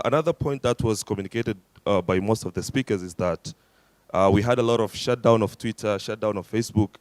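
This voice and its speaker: Low-voiced Tanzanian man speaking from the floor. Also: a little mellow, dry recording. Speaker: audience delegate. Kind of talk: conference floor remarks.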